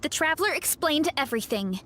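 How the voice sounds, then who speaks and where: A woman's voice: sits high, very animated, fairly quick, fairly bright tone, a little hiss or hum. A woman playing an earnest, straightforward young heroine in a video game. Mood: reasoning. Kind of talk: video game character acting.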